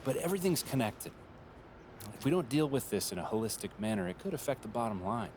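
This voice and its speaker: An actor voicing a polished, data-obsessed male marketing executive in a video game, speaking in smooth corporate terms. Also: medium pitch, very animated, fast talker, a little mellow, slight background noise. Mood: businesslike. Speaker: head of brand and marketing. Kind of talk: video game cutscene dialogue.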